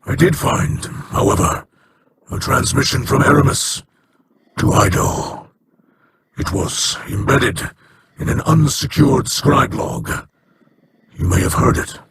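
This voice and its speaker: A grave alien elder from a sci-fi game who speaks slowly and formally, his pitch rising and falling widely as regret weighs on each line. The game audio carries a clear hiss of noise underneath. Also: expressive. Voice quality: low, measured, formal speech. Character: solemn Eliksni male elder, grave and regretful. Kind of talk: video game dialogue.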